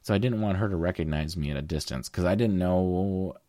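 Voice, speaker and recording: A young man with a deep voice, casually telling a long story as a guest in a conversation, with fillers and restarts. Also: fairly quick, mellow, no room sound, studio quality. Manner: rambling, with fillers.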